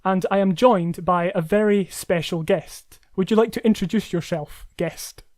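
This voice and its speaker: A man's voice: sits high, normal speed, a little mellow, dry recording, studio quality. A man hosting a gameplay video, chatty and self-deprecating as he thinks aloud. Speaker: host. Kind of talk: gameplay commentary.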